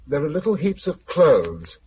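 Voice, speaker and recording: An actor with a British accent playing a steady, practical, wry leader of survivors in a 1950s radio drama. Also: male voice, a little high, a little slow, husky, full character voice. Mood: sombre. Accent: British English. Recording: muffled, noisy 1950s radio recording.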